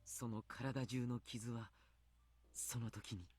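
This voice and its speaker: A soft-spoken, skeptical doctor. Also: male voice, mid-range voice, steady tone, slightly rough. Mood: measured. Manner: soft-spoken and measured, formal. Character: calm, polite adult male doctor, skeptical. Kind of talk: Japanese game voice acting.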